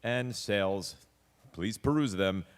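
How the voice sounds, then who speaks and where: A man's voice: lowish voice, slow, clear, resonant tone. A man making a stage announcement as the announcer of a live show. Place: live show at a recreation hall. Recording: very noisy, distant live hall.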